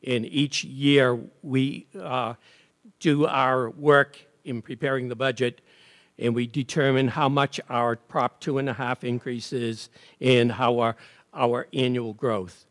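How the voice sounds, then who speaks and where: A man's voice: medium pitch, steady tone, slow pace, a bit bright, big-room sound. A man speaking as a town selectman, making a formal, matter-of-fact public comment about budget figures.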